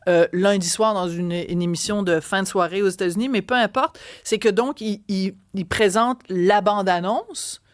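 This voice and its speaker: A woman with a Québécois French accent co-hosting a talk segment, telling a story in a chatty, animated way with many restarts. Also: mid-range voice, moderate pace, hardly any pauses, no echo, studio quality. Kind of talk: casual chat on a radio and TV show.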